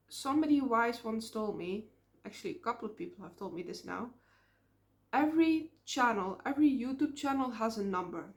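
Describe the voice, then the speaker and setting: A young woman with a Dutch accent speaks straight to her viewers in a casual vlog. Her voice sits a little high, and she talks in an earnest, reflective way, pausing now and then on little fillers. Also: creaky. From Netherlands. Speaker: small YouTube creator. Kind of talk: casual talking-to-camera vlog.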